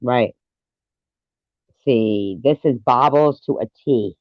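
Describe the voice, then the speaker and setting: A man's voice: mid-range voice, takes it slowly. A man giving casual, opinionated commentary, emphatic and repeating his phrases, with muffled, echoey sound. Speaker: commentator reacting to a played clip.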